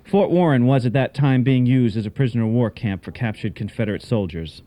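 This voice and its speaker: A man hosting and narrating a scripted radio tale in a measured, literary, dramatic manner. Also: medium pitch, dark tone. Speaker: host and narrator.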